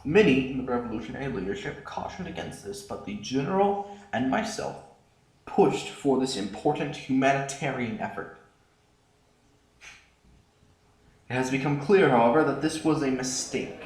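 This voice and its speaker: A man's voice: mid-range voice, animated, moderate pace, close to an everyday voice. A man playing a haughty, paranoid revolutionary politician in a sci-fi audio drama, speaking in formal, pompous oratory. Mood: grave oratory. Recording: roomy, echoing sound.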